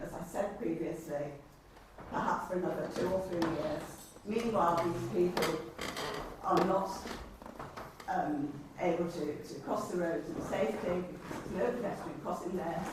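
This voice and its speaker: An English woman speaking formally and deliberately as a councillor at a meeting, often reading from documents. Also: fairly low pitch. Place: indoor council meeting room. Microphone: distant camera microphone. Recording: very echoey room, distant mic, faint noise.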